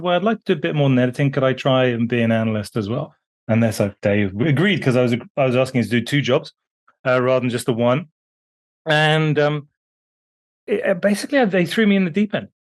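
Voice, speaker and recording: British guy in his 50s chatting on a call, gets animated, voice jumps up, lots of restarts. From Britain. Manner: animated, pitch jumping up on emphasis, frequent restarts. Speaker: guest author. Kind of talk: conversational interview storytelling.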